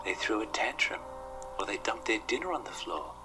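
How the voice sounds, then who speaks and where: Man acting little girl, animated horror story, eerily earnest, hushed, matter-of-fact, music underneath. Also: on the low side, moderate pace, close to an everyday voice. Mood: earnest, secretive. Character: young daughter, eager, eerily earnest little girl telling stories.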